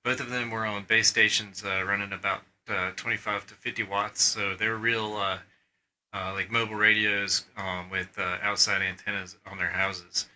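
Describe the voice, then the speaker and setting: A man with a very bright, forward voice talks through an informal presentation. His pitch sits a little low, and he feels his way through each thought with some hesitation. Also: level tone, moderate pace, poor audio. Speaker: amateur radio operator presenting recordings.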